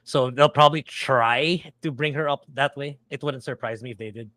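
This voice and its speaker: A man's voice: mid-range voice, fairly even intonation, normal speed, sharp tone, no room sound. A man guest on a casual podcast, opinionated, with hesitant starts.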